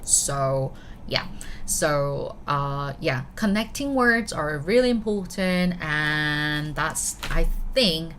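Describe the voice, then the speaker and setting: Woman, korean accent, teacher, lower voice, chatty, faint hiss behind. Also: lively intonation, slow pace, a bit bright. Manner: chatty, checks in often with "right?"; switches between English and Korean. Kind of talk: live-streamed language lesson.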